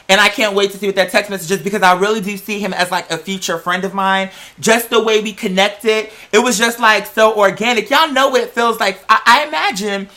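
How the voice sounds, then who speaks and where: A man telling personal stories as a host in a casual, sassy, dramatic way, with animated emphasis and lots of slang and swearing. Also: sits high. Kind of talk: casual chat, personal storytelling.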